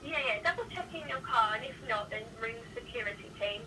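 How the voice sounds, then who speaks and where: A woman working as a shop assistant, taking a customer call, heard through a phone loudspeaker. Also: fairly high pitch, expressive, fast talker. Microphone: phone speaker picked up by camera. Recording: distant phone loudspeaker, faint room noise.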